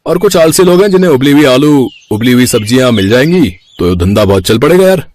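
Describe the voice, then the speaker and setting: A man's voice: mid-range voice, animated, fast talker, a little mellow, slight background noise, lightly acted. Man voicing an easygoing young village buddy. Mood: amazed, curious. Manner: colloquial, exclaiming. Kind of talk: cartoon story voice acting.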